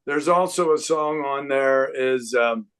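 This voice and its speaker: An older man, a guest singer, chatting casually in an unhurried, rambling way with lots of fillers. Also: mid-range voice, a bit bright, smooth. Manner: breaks into sung snippets. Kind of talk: casual interview chat.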